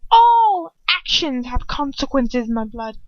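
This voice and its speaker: Woman voicing a shrill, sadistic villainess witch. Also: very animated, no echo, highs cut off, exaggerated voice. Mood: menacing. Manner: hissing, drawn-out taunts rising to shrill shouts. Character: sadistic, cackling villainess witch, taunting and venomous.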